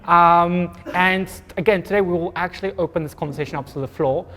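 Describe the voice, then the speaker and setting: A man from Pretoria with an Afrikaans accent, moderating a public panel talk in a halting way, with many ums and restarts. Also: sits high, fairly bright tone, big-room sound. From Pretoria, South Africa.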